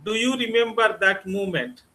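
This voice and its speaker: A man's voice: high-pitched, moderate pace, sharp tone. A man hosting a podcast interview, asking prepared questions. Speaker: podcast host.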